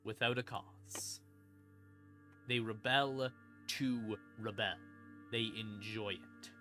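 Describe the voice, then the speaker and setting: A man's voice: fairly low pitch, fairly even intonation, takes it slowly, bright, forceful tone. A man streaming a casual explanation of game lore, informal, with dry asides and dramatic emphasis. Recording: music behind the voice. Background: creepy ambient music.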